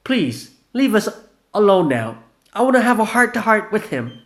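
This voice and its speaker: Man reading as a sharp-tongued boy, raised pitch, clipped and scolding, lively inflection. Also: normal speed, exaggerated voice. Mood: tense, pleading. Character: snappish young boy, tense and blunt.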